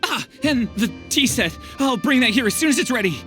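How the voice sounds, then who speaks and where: An actor playing a nervous, eager, deferential retainer in a video game, stammering with servile politeness. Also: male voice, extremely high pitch, animated, brisk, bright, forceful tone, soft background music, full character voice. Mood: flustered, eager.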